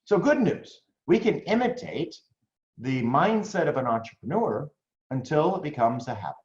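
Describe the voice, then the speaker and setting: An American man giving a business coaching talk, conversational and animated, often checking in with "right?". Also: a little high, normal speed. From United States. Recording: roomy, slightly dull lo-fi audio.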